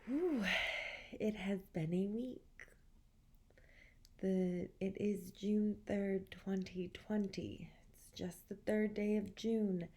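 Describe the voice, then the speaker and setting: A woman leading a guided meditation as an instructor, speaking in a soothing, gentle, measured way with long pauses. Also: mid-range voice, little pitch movement.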